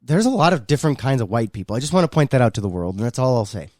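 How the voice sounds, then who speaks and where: A man's voice: medium pitch, very animated, racing, a little mellow, dry recording, clean studio sound. A man co-hosting a podcast with casual, opinionated banter and an irreverent, joking manner. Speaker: podcast co-host.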